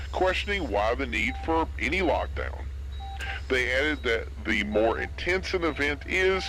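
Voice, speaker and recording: A man playing a formal, serious radio news announcer reading a measured emergency bulletin in an apocalypse audio drama. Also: on the high side, bright, forceful tone. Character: sober male radio news announcer during zombie outbreak. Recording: noisy radio broadcast with background ambience.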